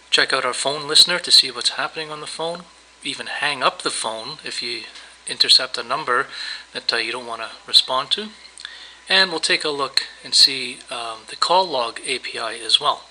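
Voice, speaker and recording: A man presenting a software tutorial, narrating a screen demo in a casual, explanatory, step-by-step way. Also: mid-range voice, normal speed, poor audio. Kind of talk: screencast demo narration, semi-improvised walkthrough.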